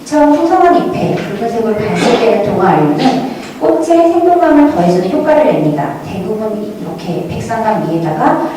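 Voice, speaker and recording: A woman's voice: a little high, very animated, fairly quick, few pauses. A woman giving a formal prepared academic presentation, recorded from a distance in a very echoey hall with faint noise. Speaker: academic presenter at a museum conference. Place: large echoing indoor hall at a conference.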